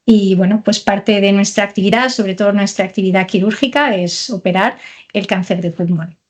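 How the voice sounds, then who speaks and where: A woman's voice: medium pitch, fairly even intonation, moderate pace. A woman in her forties, from Portugal, a surgeon answering interview questions in a hesitant, explanatory way. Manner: hesitant, many fillers and restarts while explaining. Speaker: thoracic surgeon, guest.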